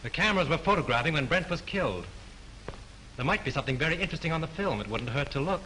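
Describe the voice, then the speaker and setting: A man's voice: fairly high pitch, lively intonation, fast, pushed and bright, a little hiss or hum, poor audio. An actor playing a cool, wisecracking male mystery novelist who mocks a detective with dry wit, in 1930s film style. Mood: assured.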